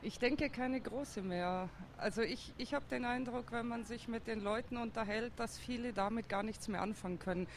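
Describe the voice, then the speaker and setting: A woman's voice: fairly high pitch, normal speed, hardly any pauses. A woman speaks outdoors on a busy city square with a faint hum of traffic behind her. She answers questions in a thoughtful, hesitant way. She often stops to search for words. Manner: thoughtful, hesitant with many fillers. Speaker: interviewee, district councillor. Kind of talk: street interview.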